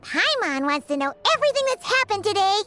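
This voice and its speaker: A woman voicing a small, excitable, curious childlike fairy companion in a video game, with a squeaky voice. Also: a little fast, music in the background. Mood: cheerful. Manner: refers to self in third person, exclaims eagerly.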